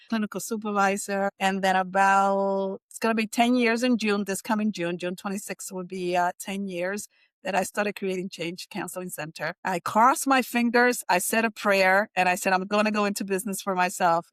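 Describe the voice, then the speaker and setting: A woman's voice: medium pitch, fairly bright tone, clear, resonant tone, dry recording. A middle-aged woman from Puerto Rico, raised in New Jersey, giving candid, conversational answers as an interview guest. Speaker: interview guest, counseling center chief executive.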